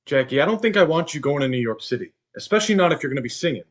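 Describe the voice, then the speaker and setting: A man's voice: on the high side, expressive, racing, natural voice. A young man acting in a stage play as a controlling, insecure husband who belittles his wife. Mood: dismissive, controlling. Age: young adult. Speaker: student actor.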